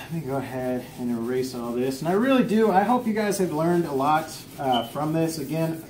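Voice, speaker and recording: A man's voice: medium pitch, a little mellow, slight background noise, rough recording. An American man giving an informal instructional vlog talk in an earnest, plain-spoken, encouraging manner. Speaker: video host teaching self-help lessons.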